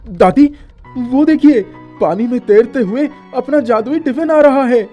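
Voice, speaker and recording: A performer voicing an earnest, kind-hearted young male college student in a moral story cartoon, polite and expressive. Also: medium pitch, unhurried, lo-fi sound, faint music behind, lightly acted. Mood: excited.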